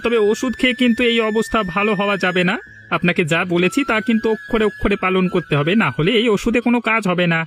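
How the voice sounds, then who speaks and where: A man's voice: high voice, quick pace, fairly bright tone, slight background noise. A man playing a courteous, firm village doctor in a cartoon, giving reassuring advice. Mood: advising, reassuring. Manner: advising, courteous. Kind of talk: cartoon dialogue acting.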